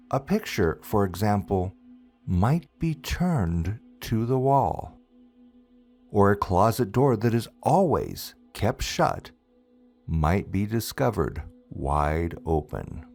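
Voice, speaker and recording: A man narrating a scripted ghost story as a storyteller and host, with deliberate, dramatic phrasing and drawn-out words. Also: fairly low pitch, a little mellow, dry recording. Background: music.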